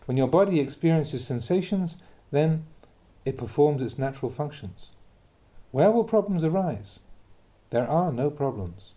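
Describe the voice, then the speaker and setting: A man reading a Buddhist teaching aloud from a script in a measured, deliberate, instructive manner. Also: a little high, expressive, warm tone. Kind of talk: scripted reading of a dharma talk.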